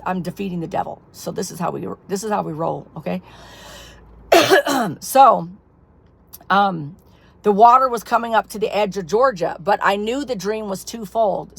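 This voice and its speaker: A woman's voice: mid-range voice, vocal fry. Woman losing her voice, rambling. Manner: rambling, filler-heavy storytelling. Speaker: ministry leader. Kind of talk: casual personal testimony to camera.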